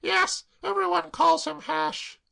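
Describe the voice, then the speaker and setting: A reader voicing a distraught young woman who sobs and wails in a high, breathless voice. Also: fairly even intonation, slow, vocal fry, pushed and bright, exaggerated voice. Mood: tearful. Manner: sobbing, high-pitched and breathless.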